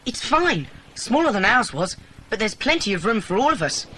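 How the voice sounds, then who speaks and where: A woman playing a sensible, forthright child in a children's audio adventure, brisk and practical. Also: fairly high pitch, very animated, fairly bright tone, slight background noise, rough recording. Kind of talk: dramatised children's audiobook acting.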